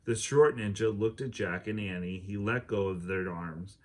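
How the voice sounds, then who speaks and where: A man reading a children's chapter book aloud as an expressive storyteller who acts out the dialogue. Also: fairly low pitch, normal speed. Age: adult.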